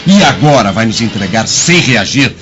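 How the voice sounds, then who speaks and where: A man's voice: fairly low pitch, sing-song, moderate pace, lo-fi sound, over music. A male actor playing a mocking, menacing young street thief in a radio drama, taunting his victim. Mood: taunting menace.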